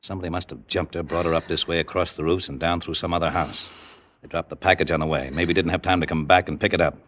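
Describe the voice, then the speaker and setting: Deep, gruff hard-boiled police sergeant. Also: male voice, steady tone, quick pace, fairly bright tone, a little hiss or hum. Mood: gruff, relenting. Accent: American. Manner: blunt, clipped, slangy tough talk. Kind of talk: 1940s radio acting. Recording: vintage radio drama with faint music.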